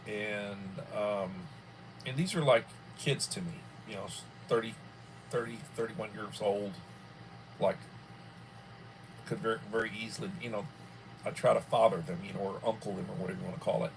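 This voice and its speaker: A man in his early sixties hosting a casual livestream from home, rambling and filling pauses often. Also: on the low side, steady tone, creaky, noisy background. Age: 60s. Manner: rambling, with many fillers. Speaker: livestream host.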